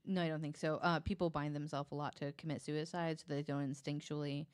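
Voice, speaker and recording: A woman recounting true crime cases in a conversational, halting and informal way, hedging as she works from notes. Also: low voice, flat delivery, clear, resonant tone, dry recording, studio quality. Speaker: podcast guest.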